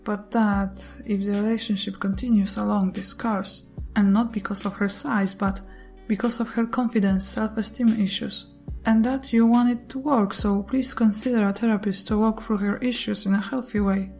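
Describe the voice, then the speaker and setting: A woman whose first language is not English, reading online posts and comments aloud in a steady way, with brief chatty asides. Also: mid-range voice, moderate pace, faint music behind. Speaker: YouTuber reading Reddit posts.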